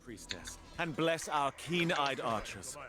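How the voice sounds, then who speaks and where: An actor playing a proud, impatient Persian satrap in a video game, speaking in a curt, commanding way. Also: male voice, mid-range voice, very animated, slow, loud music behind the voice. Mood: brisk command. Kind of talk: video game dramatic acting.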